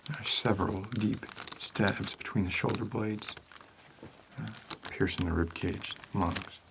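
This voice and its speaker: Deep and very unhurried, this is a shady, laid-back homicide detective, played by a man for an ASMR roleplay. He rambles haltingly and stays deadpan, with clear noise in the recording. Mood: deadpan, musing. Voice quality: low, creaky.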